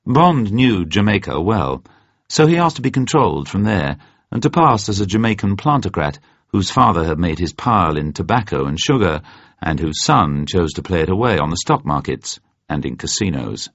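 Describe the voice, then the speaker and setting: Male narrator for spy fiction: low, husky voice, measured scripted storytelling, dull-sounding recording. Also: big pitch swings, no room sound. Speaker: audiobook narrator.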